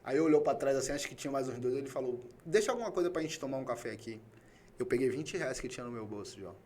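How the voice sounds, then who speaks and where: A man's voice: mid-range voice, animated, fast talker, a little mellow, slight background noise. A man from Salvador with a Brazilian Bahian accent, hosting a casual conversation in an emphatic, colloquial way and acting out quoted dialogue. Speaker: podcast host.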